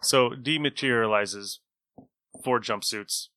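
A man's voice: medium pitch, slow pace, bright, forceful tone, no room sound. A man acting as game master, narrating scenes and bantering with players in a tabletop role-playing game.

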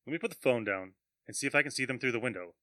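A man's voice: mid-range voice, animated, racing, heavy background noise, close to an everyday voice. A man acting a jittery, skeptical man who is spooked and agitated, in an amateur audio drama. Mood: nervous. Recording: loud music over the voice.

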